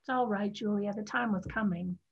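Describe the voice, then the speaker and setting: A woman's voice: mid-range voice, moderate pace, vocal fry, husky. A woman acting a practical, defiant young woman in a staged drama reading over a video call. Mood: calm, reassuring. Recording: dull video-call audio.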